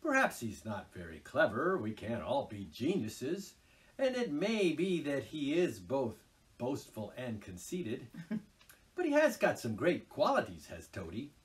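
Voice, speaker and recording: A man voicing a good-natured, brisk male water rat. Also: mid-range voice, huge pitch swings, far from the mic. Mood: hearty. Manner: brisk, hearty, chatty.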